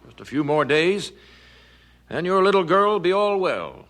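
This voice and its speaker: An actor playing a reassuring, overconfident small-town physician in an old-fashioned radio drama, soothing with small hesitant hums. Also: male voice, high voice, sing-song, moderate pace, fairly bright tone.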